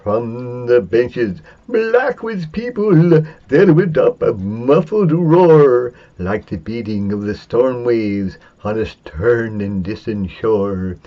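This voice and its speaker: A man giving a semi-dramatic reading of a narrative poem, theatrical and drawn-out, with big swings for suspense and excitement. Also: mid-range voice. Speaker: poetry reader. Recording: dull, lo-fi sound.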